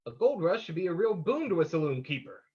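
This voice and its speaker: An actor playing a calm, decisive detective hero in an old-time radio drama, brisk and authoritative, on a dull recording. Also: male voice, on the high side, slightly rough. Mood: brisk, alert.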